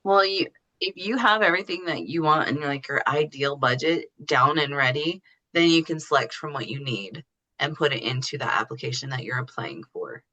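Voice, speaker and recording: Woman with a bright, forceful voice. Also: low voice, moderate pace, low-quality recording. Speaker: podcast guest explaining her know-how. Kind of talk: casual explanatory interview talk.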